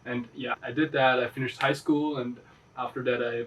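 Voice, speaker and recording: A man's voice: medium pitch, quick pace, recorded from a distance, slight background noise, poor audio. A man, a guest chatting casually in an interview and rambling with fillers. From Austria. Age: 20s. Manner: relaxed, rambling, lots of fillers and restarts.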